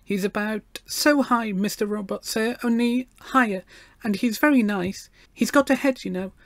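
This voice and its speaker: A man voicing an earnest, very polite little girl in a storybook, with a halting treble voice. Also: sits high, a bit bright, no room sound, studio quality, voice pushed up. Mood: earnest, hopeful.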